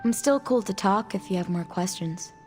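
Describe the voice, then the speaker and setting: A woman's voice: mid-range voice, moderate pace, over music, natural voice. A woman playing a guarded, earnest young mutant outcast in video game dialogue, speaking casually and candidly with some hesitation. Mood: reflective.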